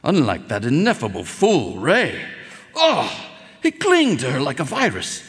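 A man's voice: a little high, wildly swooping pitch, unhurried, a bit bright, husky, exaggerated voice. A man playing a pompous, bitter, imperious drinker, declaiming with disdain in a live radio drama. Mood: disdainful. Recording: echoey concert hall.

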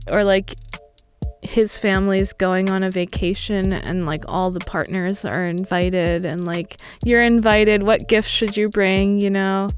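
A woman giving thoughtful, informal conversational commentary in an interview. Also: medium pitch. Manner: thoughtful, informal, hedging with "you know" and "like".

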